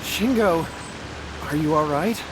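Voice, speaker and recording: A man's voice: a little high, takes it slowly, background noise. An actor playing a timid, anxious young man in a video game, nervous and apologetic. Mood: relieved, grateful.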